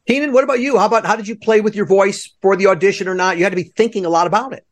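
Fast-talking, higher-pitched male host. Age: middle-aged. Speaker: podcast host interviewing theater guests. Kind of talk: casual chat.

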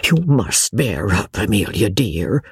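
A woman's voice: rumbling low, animated, takes it slowly, husky, dry recording, studio quality, exaggerated voice. A woman reading a story, voicing a kind, firm Irish officer's wife with an Irish accent. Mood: comforting. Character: kindly, brisk Irish major's wife.

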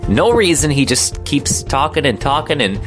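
A woman's voice: low-pitched, very animated, moderate pace. A woman giving a casual, chatty recommendation. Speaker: podcast fan giving a recommendation. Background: faint guitar music.

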